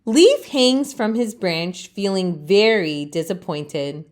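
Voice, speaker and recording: A woman reading a children's storybook aloud as a storytime host, with expressive narration. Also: mid-range voice, takes it slowly.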